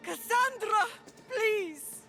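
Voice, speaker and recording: A woman's voice: extremely high pitch, lively intonation, very slow, bright, forceful tone, faint music behind, heavily acted. A woman voicing a loving, anguished ancient Greek mother in a video game drama, fierce and impassioned. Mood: desperate pleading. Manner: emotional, impassioned.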